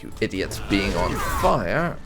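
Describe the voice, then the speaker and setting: A man's voice: deep voice, sing-song, a little slow, over music. A man hosting a gaming playthrough, giving dry, sardonic roleplay commentary and reading dialogue choices aloud. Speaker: gaming channel host.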